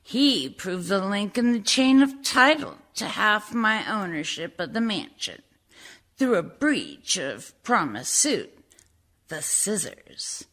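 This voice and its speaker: A woman playing a sly, destitute woman telling her story in a dramatic radio verse monologue, slowly and deliberately. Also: mid-range voice, sing-song, fairly bright tone, heavily acted. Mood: smug. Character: sly, destitute woman recounting how she claimed a mansion.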